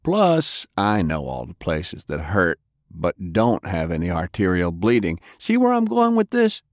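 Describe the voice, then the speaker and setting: Man reading tough-guy interrogator, rough drawl, slow menace, lively delivery, dry studio sound. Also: low-pitched, no room sound, exaggerated voice. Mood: taunting. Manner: slow, threatening, sardonic.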